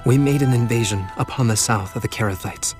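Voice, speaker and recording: Casting: man to play frail, wary young Egyptian slave in scripture drama, medium pitch, pleading manner. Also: a little fast, over music, lightly acted. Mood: weary, explaining.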